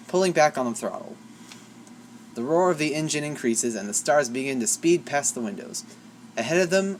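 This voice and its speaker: Fanfic reader narrating, playful deadpan jokes, bouncy pitch. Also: slight background noise. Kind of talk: comic story narration.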